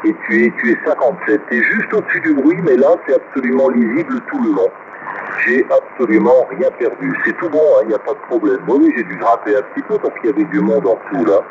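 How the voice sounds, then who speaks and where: A man's voice: medium pitch, very animated, quick pace, creaky. A man talking casually as an amateur radio operator, giving signal reports to other operators. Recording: noisy, muffled shortwave radio reception. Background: loud radio static and hiss.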